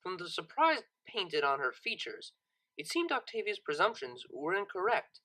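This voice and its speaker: A narrator reading a scripted story expressively, with dramatic, deliberate phrasing. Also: mid-range voice, sing-song, bright, forceful tone, poor audio. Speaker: narrator, audiobook reader.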